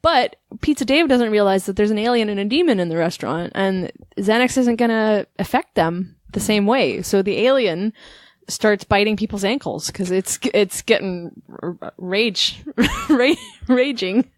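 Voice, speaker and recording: A woman hosting an improvised comedy podcast, talking fast and rambling with frequent laughs. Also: medium pitch, lively intonation, dry recording. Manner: quick, rambling, laughs often. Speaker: podcast host.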